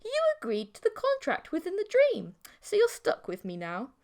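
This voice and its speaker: A woman voicing an excitable, teasing and bossy female creature girlfriend with a sing-song delivery in an audiobook. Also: very high-pitched, normal speed, pitched above the performer's own voice, full character voice.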